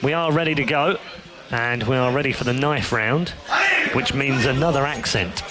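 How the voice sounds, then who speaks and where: A man's voice: mid-range voice, moderate pace, heavy background noise. Stat-loving man casting esports. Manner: stat-focused, builds to excited calls. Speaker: esports commentator. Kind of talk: live play-by-play commentary.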